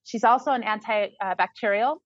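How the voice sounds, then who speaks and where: A woman's voice: medium pitch, brisk, no room sound, dull audio. A woman talking casually and eagerly, rambling with frequent restarts. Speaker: herbalist guest. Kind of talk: casual conversation.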